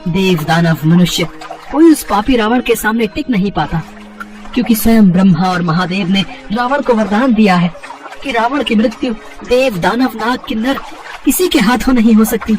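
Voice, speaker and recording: A man playing a desperate god who pleads with urgent, shrill declamation in a mythological story dramatization. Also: normal speed, recorded from a distance, faint music behind, lightly acted. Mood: frantic pleading. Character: desperate god pleading against a demon king's terror.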